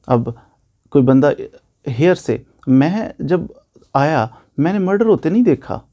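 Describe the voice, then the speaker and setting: A man's voice: on the high side, dark tone. A man with a Pakistani accent giving an informal law lecture, explaining by repeating points and posing questions that he answers himself.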